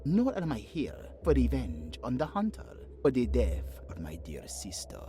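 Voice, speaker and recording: Sly, swooping dark elf villain. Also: male voice, mid-range voice, wildly swooping pitch, measured pace, soft background music. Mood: mock courtesy. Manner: silky, theatrical, mock-courteous drawl. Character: sly, mocking dark elf messenger of a demon king. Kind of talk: fantasy audio roleplay acting.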